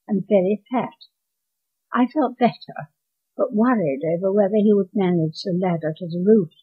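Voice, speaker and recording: A woman in her nineties with an English accent reads her own story aloud in measured, deliberate phrases. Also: medium pitch, slightly rough. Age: 90s. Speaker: author reading own story. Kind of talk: scripted storytelling, read aloud. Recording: dull lo-fi home recording.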